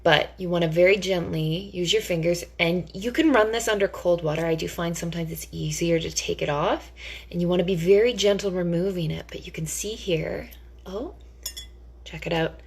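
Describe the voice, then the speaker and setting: A woman's voice: on the low side, moderate pace, gravelly, husky. A woman giving a casual cooking demonstration in a chatty, theatrical, playfully enthusiastic way.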